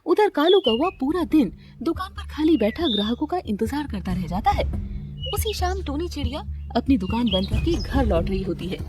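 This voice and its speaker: A woman's voice: sits high, sing-song, moderate pace, over music. A woman reading scripted narration for a children's story as its narrator.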